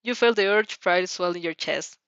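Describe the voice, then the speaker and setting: Woman reading a fanfic out loud, expressive, trips on words a bit, dry kinda dull audio. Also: on the low side, moderate pace, smooth, no echo. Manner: stumbles over words and repeats phrases. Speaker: amateur fan fiction reader. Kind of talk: expressive story narration with character voices.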